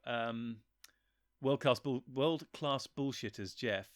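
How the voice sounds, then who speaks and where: A man's voice: mid-range voice, slow, dry recording, clean studio sound. A man chatting casually as a guest, talking enthusiastically and wandering off on tangents. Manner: enthusiastic, rambling and digressive. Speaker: guest, writer and voice actor. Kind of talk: casual chat.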